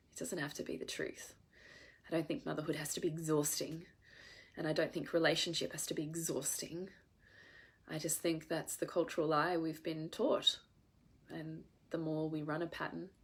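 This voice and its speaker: A congested woman with a head cold talks earnestly to her audience, her voice sitting a little low for a woman. She presses her points with emphasis and the odd swear word, the easy live-stream talk of a coach. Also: husky. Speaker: women's coach.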